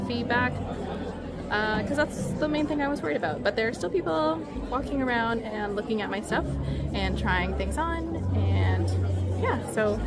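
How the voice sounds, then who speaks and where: Chatty young woman with background music. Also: medium pitch. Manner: chatty, upbeat, many ums. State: losing her voice from a cold. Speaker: crochet vlogger. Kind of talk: casual vlog chat.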